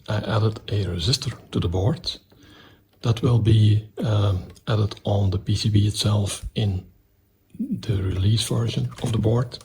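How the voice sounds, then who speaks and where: Low-pitched man with gravelly creak, explaining electronics. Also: a little mellow. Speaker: electronics hobbyist presenter. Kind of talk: technical explanation of an electronics project.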